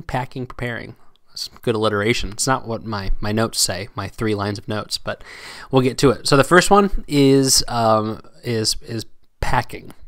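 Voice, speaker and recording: A middle-aged man hosting a solo podcast, talking loosely and conversationally with frequent restarts. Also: mid-range voice. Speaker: podcast host.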